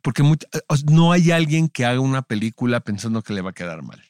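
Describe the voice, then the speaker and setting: A man's voice: mid-range voice, very animated, quick pace, darkish tone, no echo. A Mexican man chats as an interview host in a mid-range voice. His talk is colloquial and slangy, seeking agreement as he goes, and the recording is dry with little room sound. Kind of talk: casual interview chat.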